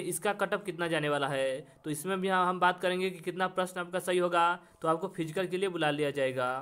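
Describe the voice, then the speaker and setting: High-pitched man presenting an exam update. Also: fairly bright tone. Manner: repetitive, step-by-step, addresses students directly. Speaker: exam coaching channel host.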